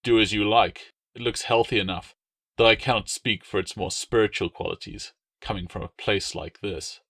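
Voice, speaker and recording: A man's voice: fairly low pitch, normal speed, fairly bright tone, no echo. Man as a dry, skeptical young officer. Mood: dismissive, dry. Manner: dry, dismissive. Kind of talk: audiobook character dialogue.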